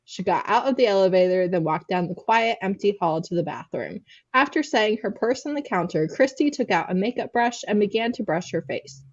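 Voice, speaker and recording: A woman with a slightly husky voice narrating a story expressively in an amateur reading. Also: mid-range voice, lively intonation, fairly quick, hardly any pauses. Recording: dull, lo-fi microphone sound.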